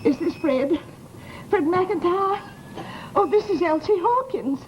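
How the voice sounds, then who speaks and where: A woman's voice: very high voice, animated, slow pace, muffled recording, a little hiss or hum, full character voice. An actress playing a nosy, aggrieved widowed landlady with an American accent in an old-time radio horror drama, talking in a garrulous, dramatic way. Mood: anxious, urgent.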